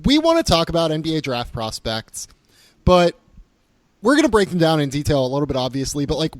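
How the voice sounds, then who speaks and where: A man hosting a sports podcast with a hoarse, croaky voice, chatting casually and filling his sentences with "like". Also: on the high side, big pitch swings, a little fast. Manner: casual, leans on "like" constantly. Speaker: podcast host.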